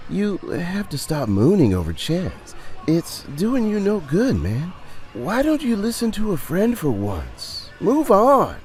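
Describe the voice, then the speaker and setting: A man's voice: high-pitched, wildly swooping pitch, slow, a little mellow, soft background music, voice pushed up, full character voice. A man voicing a patient pub manager and loyal friend, coaxing and insistent, in an audio story. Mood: concerned, urging.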